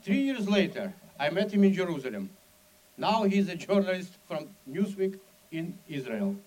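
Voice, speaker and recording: Russian guy giving a speech in broken english, slightly high pitched, dramatic and punchy. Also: normal speed, rough recording. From Soviet Union. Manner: broken, non-native English grammar; animated, dramatic storytelling with emphatic asides. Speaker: speaker at an event. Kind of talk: public speech, personal storytelling.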